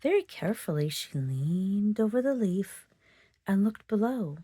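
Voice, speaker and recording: A woman storyteller reading a children's picture book aloud in an expressive narrating style. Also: mid-range voice, measured pace, slightly rough, no echo, studio quality.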